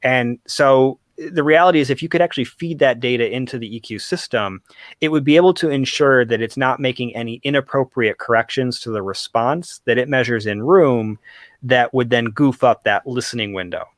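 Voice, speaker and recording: A man's voice: medium pitch, moderate pace, dry recording. A man giving detailed technical explanations in conversation as an expert guest, carefully qualifying his points.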